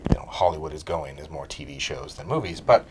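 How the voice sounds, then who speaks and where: A man's voice: very deep voice, animated, fast, background noise. A man co-hosting a casual entertainment discussion, giving his opinions in an informal, loosely phrased way. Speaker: co-host of a video game and movie discussion show. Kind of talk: casual opinionated chat about entertainment news.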